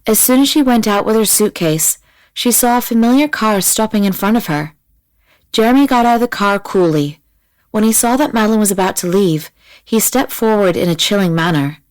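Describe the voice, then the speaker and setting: A woman's voice: mid-range voice, fairly quick, no echo, poor audio. Dry, close woman narrating an audiobook.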